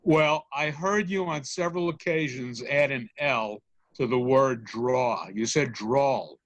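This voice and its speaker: A man at a meetup asking halting, hesitant questions in discussion. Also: mid-range voice, no echo, lo-fi sound. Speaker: meetup attendee.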